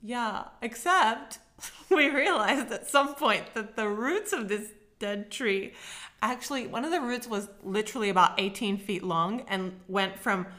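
Bright-voiced Australian woman, chatty and laughing. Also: fairly high pitch, a little slow. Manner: chatty, laughs often, self-deprecating. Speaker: podcast co-host. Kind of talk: casual chat.

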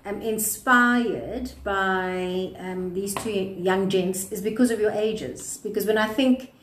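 A woman's voice: medium pitch, slow. A middle-aged woman with a South African accent, interviewing conversationally as a podcast host. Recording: echoey room, faint background noise.

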